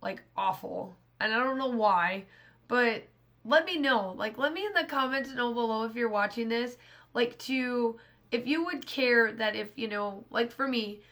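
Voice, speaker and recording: A woman in her thirties with a hoarse, scratchy voice, casually talking through a scrapbooking craft project in a rambling way. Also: on the high side, measured pace, a bit bright. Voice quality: rambling, restarts often. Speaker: scrapbooking channel host. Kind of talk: casual voice-over narration of a craft process.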